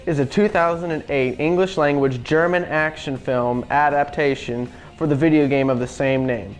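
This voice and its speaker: A man co-hosting a semi-scripted video review in a sardonic way, as commentary. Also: on the high side. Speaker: co-host. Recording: music behind the voice.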